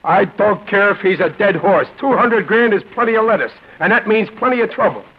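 A man's voice: sits high, expressive, fairly quick, husky, exaggerated voice. An actor playing a brash small-time tough guy in a 1940s radio drama, wisecracking in streetwise slang. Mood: indignant, brash. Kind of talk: 1940s radio drama acting and first-person narration. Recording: dull vintage radio recording with music behind.

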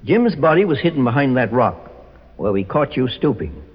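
An actor playing a shrewd, commanding private detective who explains and accuses with authority in a 1940s radio drama. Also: male voice, medium pitch, very animated, normal speed, breathy edge. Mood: accusing. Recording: muffled, reverberant old radio recording.

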